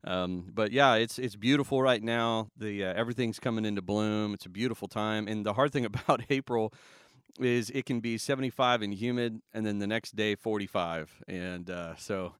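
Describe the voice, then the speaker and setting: A man in his thirties from Southern California, a pastor talking casually as a podcast guest, relaxed and rambling with fillers and chuckles. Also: fairly low pitch, moderate pace, clean tone, dry recording, clean studio sound.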